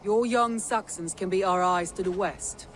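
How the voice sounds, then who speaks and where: A cautious warrior advisor for a game cutscene, with a high-pitched voice and earnest delivery. A faint noise lies underneath. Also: male voice, normal speed, fairly bright tone, low-quality recording. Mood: measured, earnest. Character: cautious, earnest warrior counsellor in a war band. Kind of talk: dramatic video game cutscene acting.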